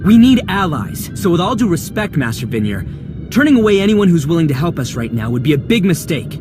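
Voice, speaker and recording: A man's voice: fairly high pitch, very animated, a little fast, music in the background. A man voicing an earnest, outspoken young Jedi in a video game. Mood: indignant. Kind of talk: video game dramatic acting.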